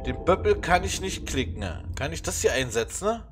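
A man with a stuffy nose from a cold, musing haltingly through a puzzle game with plenty of fillers. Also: a little high, sing-song, noisy background. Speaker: let's play gamer. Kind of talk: casual puzzle game commentary.

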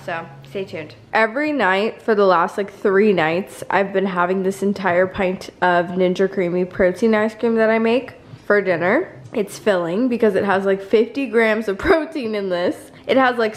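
A bubbly woman in her late twenties gushes to camera in a casual vlog. She talks from her apartment living room, picked up by a camera set close in front of her. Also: medium pitch. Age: 20s. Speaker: lifestyle vlogger.

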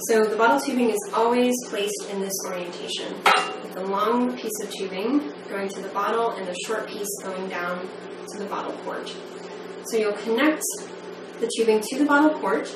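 Echoey, distant woman giving methodical instructions. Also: mid-range voice. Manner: measured, methodical explaining. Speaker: medical device trainer. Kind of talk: instructional step-by-step demonstration. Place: small plain indoor room. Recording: echoey room, distant mic, background noise.

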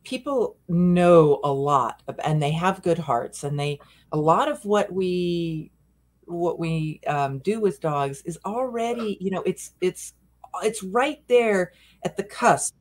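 A woman's voice: lowish voice, slow pace. An older woman, a dog behavior consultant, chatting earnestly and self-deprecatingly on a video call from a room at home. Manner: earnest, self-deprecating, gestures while talking. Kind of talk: casual video-call chat.

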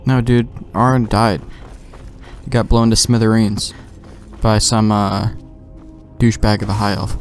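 A young man with a lowish, gravelly-edged voice does casual let's play commentary. He is offhand and slangy, thinking aloud, with faint game music underneath. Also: little pitch movement, unhurried, pauses often. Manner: offhand, slangy, talking to himself. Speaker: gamer, let's play host.

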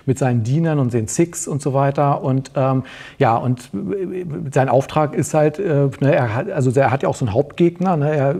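A man in his forties chatting casually as a studio guest, explaining things haltingly with repeated words. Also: mid-range voice, gravelly, mellow, slightly rough. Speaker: guest author on a talk show. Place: small indoor talk-show studio.

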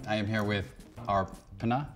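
A man's voice: lowish voice, fairly even intonation, normal speed, faint music behind. A middle-aged man hosting a studio interview, playful and encouraging, often chiming in on his guest's answers. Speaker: host. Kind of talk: casual interview chat. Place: dark studio set, indoors.

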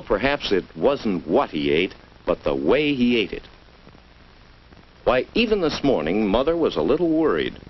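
Dull vintage film soundtrack, American man, slow pace, very varied intonation, 1950s educational narration, measured, addressing a child. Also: on the low side, slight background noise. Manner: measured, addresses the child directly with rhetorical questions.

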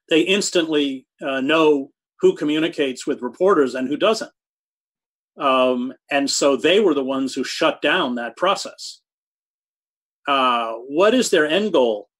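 A man in his sixties answering interview questions over a video call from a home study, speaking thoughtfully with halting restarts and hard emphasis on key words. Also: a little high, fairly bright tone. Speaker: guest, journalist. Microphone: video call webcam.

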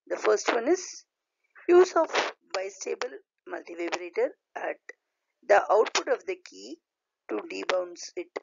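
Slow, lively-pitched woman lecturer. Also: creaky, slightly rough, no room sound. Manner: deliberate, halting delivery in short phrases. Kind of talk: scripted slide lecture read aloud. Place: voice-over recorded over slides. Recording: dull, lo-fi voice recording.

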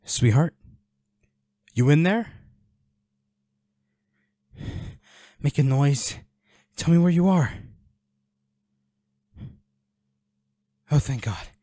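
Guy doing a protective worried boyfriend in an audio roleplay, mellow voice, super dry close sound, pleading and soothing. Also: on the high side, no echo. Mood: anxious searching. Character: protective, worried boyfriend tending his injured werewolf partner. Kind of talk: intimate audio roleplay acting.

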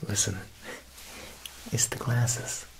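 A young man whispering an ASMR roleplay as a joking, faintly menacing Italian mob boss tailor, with wiseguy turns of talk. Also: slow pace. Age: young adult. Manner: wiseguy talk, frequent "huh" tags. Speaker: ASMR performer playing a tailor.

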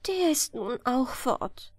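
A high-pitched, wistful young girl from a fairy tale, played by a woman narrator. Her voice swoops widely with yearning and curiosity. She speaks slowly, as in a storybook read aloud. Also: sing-song, no echo, clean studio sound, exaggerated voice. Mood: sad. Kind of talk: fairy-tale audiobook character voice.

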